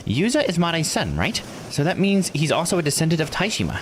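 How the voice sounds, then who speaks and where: A man's voice: fairly high pitch, wildly swooping pitch, a little fast, slight background noise, pitched above the performer's own voice. A man voicing an eager, thoughtful youngster who tentatively reasons through a mystery in a visual novel. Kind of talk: reading visual novel dialogue aloud. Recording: music under the voice.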